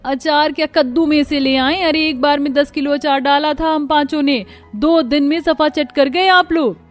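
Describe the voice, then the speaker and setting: A woman's voice: high voice, steady tone, moderate pace, voice pushed up, natural voice. A woman voicing a put-upon young daughter-in-law in a cartoon, complaining in sarcastic, exasperated asides. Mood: sarcastic irritation. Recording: music bed under the voice.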